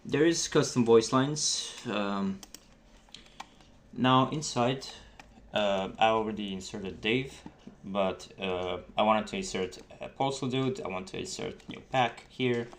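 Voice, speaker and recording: Low-voiced man in a halting tutorial. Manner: halting, informal explaining. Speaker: game developer giving a tutorial. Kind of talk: casual screen-recorded tutorial. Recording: noisy desktop mic with key clicks. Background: keyboard typing and mouse clicks.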